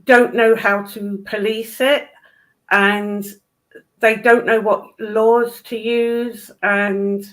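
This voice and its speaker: A woman's voice: mid-range voice, little pitch movement, drawn-out words, fairly bright tone. A woman in casual discussion, speaking in a blunt, opinionated way.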